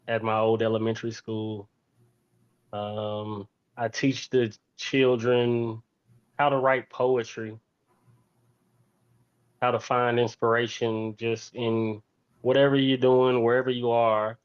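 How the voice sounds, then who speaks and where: A man's voice: fairly low pitch, clear, resonant tone, lo-fi sound. A man, telling his own story as an interview guest in a reflective way, restating phrases for emphasis. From Fort Worth, Texas, United States. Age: 40s.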